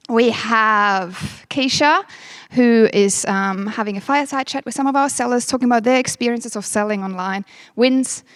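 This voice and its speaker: A woman's voice: fairly high pitch, clear, resonant tone, no room sound. A woman in her thirties with a German accent hosts a workshop, speaking in a chatty, self-deprecating way with many ums. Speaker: event host. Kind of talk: welcome speech at a workshop. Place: event room.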